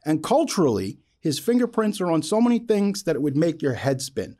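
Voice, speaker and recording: A man's voice: on the high side, big pitch swings, a little fast, mellow. A man hosting an interview show, effusive and reverent, speaking with dramatic emphasis and enthusiasm. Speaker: podcast host. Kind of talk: enthusiastic interview with a prepared introduction.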